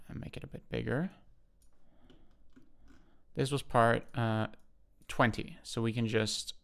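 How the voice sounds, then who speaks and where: A man giving an unscripted software walkthrough, explaining step by step in a matter-of-fact way and pausing to think aloud. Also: mid-range voice, creaky. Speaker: software tutorial presenter. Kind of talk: unscripted screen-share walkthrough.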